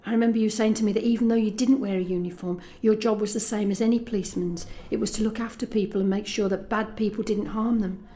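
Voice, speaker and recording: A woman's voice: medium pitch, warm tone, slight background noise, close to an everyday voice. An actress playing a young woman who confides her plans for revenge in an intimate, wry, self-mocking audio drama monologue. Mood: tender.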